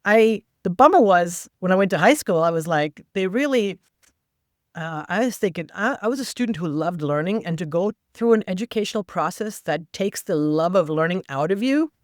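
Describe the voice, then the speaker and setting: A woman whose first language is not English, guesting on a podcast and telling her story in a lively way with quoted asides. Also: fairly low pitch, moderate pace, dry recording, studio quality. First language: non-English first language. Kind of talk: conversational interview.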